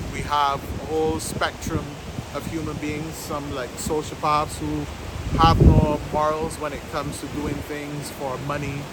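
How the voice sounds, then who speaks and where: Higher-pitched man in his fifties, windy recording. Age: 50s. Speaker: vlog host, business owner. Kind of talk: explanatory talk to camera, informal. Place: outdoors by the sea, west coast of Barbados. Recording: loud wind noise on microphone.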